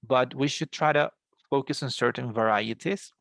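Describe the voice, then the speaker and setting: Gravelly Colombian man teaching English. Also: medium pitch, moderate pace, a bit bright, dry recording, studio quality. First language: Spanish. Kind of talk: explanatory online class lecture.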